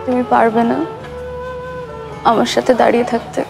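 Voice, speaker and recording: A woman's voice: fairly high pitch, flat delivery, moderate pace, gravelly, over music. An actress playing an earnest, wistful young woman in love in a television drama. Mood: wistful, tender. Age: young adult. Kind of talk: romantic TV drama acting.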